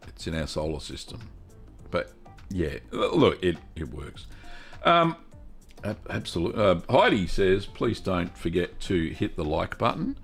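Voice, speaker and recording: A middle-aged man hosting a casual cheese-making livestream, chatty and upbeat, reading out viewer comments and filling pauses with ums. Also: sits low, creaky, husky. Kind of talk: casual live-stream chat.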